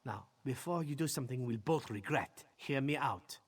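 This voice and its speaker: An actor playing a blunt, self-possessed vampire in a video game, speaking in a husky, ominous way. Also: male voice, medium pitch, expressive, moderate pace. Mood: guarded, commanding. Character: guarded, self-possessed male vampire, curt and grimly urgent.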